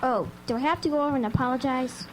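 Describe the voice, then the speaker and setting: Woman playing an eager high-voiced little girl. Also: fast, natural voice, low-quality recording. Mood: unsure, earnest. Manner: bright-eyed, childlike questioning. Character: curious, eager little girl, youngest daughter of the family. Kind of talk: radio drama acting. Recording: live radio recording, faint hum.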